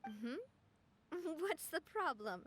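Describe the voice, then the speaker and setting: A woman's voice: high voice, big pitch swings, extremely slow, noisy background, heavily acted. A woman playing a poised, playful young female leader in a video game, speaking in a breezy, assured way. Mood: breezy, friendly. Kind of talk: video game character acting. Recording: game music behind the voice.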